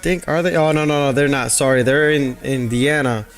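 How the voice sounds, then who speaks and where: A man's voice: mid-range voice, normal speed. A man from Puerto Rico chatting casually with his livestream viewers as a day trader, rambling and thinking aloud with lots of fillers. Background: faint background music.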